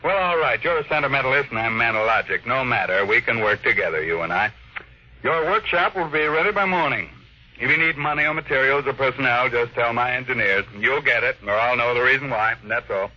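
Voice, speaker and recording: An actor playing a ruthless, ambitious millionaire in a brusque, commanding manner, in the style of 1950s radio drama. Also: male voice, medium pitch, lively intonation, a little fast, pushed and bright. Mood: proud, assured. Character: ruthless, ambitious male millionaire tycoon, curt and imperious. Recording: dull vintage radio recording.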